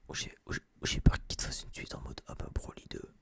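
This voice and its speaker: A young man whispering a casual, slangy, rambling review in breathy ASMR style, close to a binaural microphone. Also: fast talker, fairly bright tone. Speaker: ASMR video creator.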